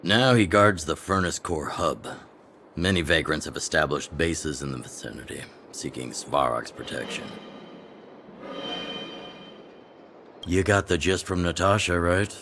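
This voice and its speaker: A man playing a gruff, weathered, level-headed underworld chief in a video game, speaking frankly and in a measured way. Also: extremely low pitch, lively intonation, a bit bright, slight background noise, heavily acted. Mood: measured, businesslike. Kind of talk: video game character acting. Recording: background music under the voice.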